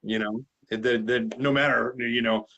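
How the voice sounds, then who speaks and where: A man's voice: medium pitch, fairly even intonation, normal speed, sharp tone, muffled recording. A man in his forties hosting a casual chat, frank and joking, swearing now and then. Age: 40s. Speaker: host. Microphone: video call.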